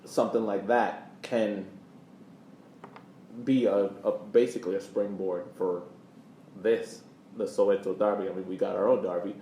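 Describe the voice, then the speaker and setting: A man with a rather low voice chats as a podcast host. He is emphatic, often repeating a phrase to drive it home. The sound is echoey, with faint noise in the background. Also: steady tone, moderate pace, long pauses, dark tone. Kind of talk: casual soccer podcast discussion.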